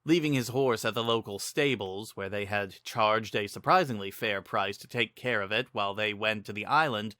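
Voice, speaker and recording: A man's voice: fairly low pitch, moderate pace, hardly any pauses, bright, forceful tone, no echo, studio quality. A man reading a fantasy adventure story aloud as a scripted narrator. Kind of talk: dramatic reading of fantasy fiction.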